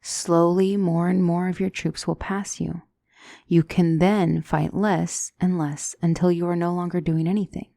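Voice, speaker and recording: Woman acting a low, mellow, gentle demon tutor. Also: little pitch movement, gravelly, no room sound, studio quality. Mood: calm, instructive. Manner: measured, deliberate, soft-spoken, instructive. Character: gentle demonic female tutor, calm strategic battle mentor. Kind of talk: scripted ASMR roleplay.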